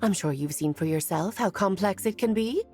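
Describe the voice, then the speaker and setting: A woman's voice: medium pitch, expressive, moderate pace, a little mellow, soft background music, close to an everyday voice. Woman as a poised, mischievous elegant mage. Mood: gracious. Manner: poised, gracious, lightly mischievous. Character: elegant, playful female mage, wise and teasing. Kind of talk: video game voice acting.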